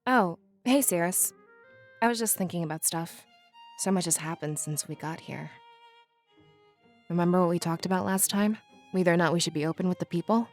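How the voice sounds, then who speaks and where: A man's voice: high voice, moderate pace, pauses often. A pensive, cautious companion from a fantasy visual novel. He speaks reflectively, as if confiding in a friend, while light instrumental music with strings plays underneath. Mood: pensive, worried. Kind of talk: visual novel character voice acting.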